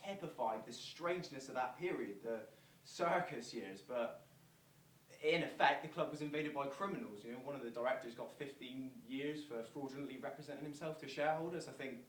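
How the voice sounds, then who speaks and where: A man's voice: mid-range voice, brisk, a bit bright, natural voice. Earnest fan recounting memories, verbatim theatre, played by a man. Distant mic, faint noise. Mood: reflective. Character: earnest football fans recalling a celebrity visit, verbatim theatre. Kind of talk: verbatim monologue performed on stage. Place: theatre performance space, distant microphone. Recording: roomy stage recording from afar, faint noise.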